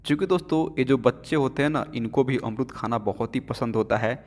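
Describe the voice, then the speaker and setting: A man's voice: medium pitch, fairly quick. Chatty man in a reverberant kitchen. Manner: chatty, keeps saying "friends" and filler "na". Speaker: home remedy presenter. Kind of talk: cooking demonstration with health tips. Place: home kitchen. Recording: long reverb.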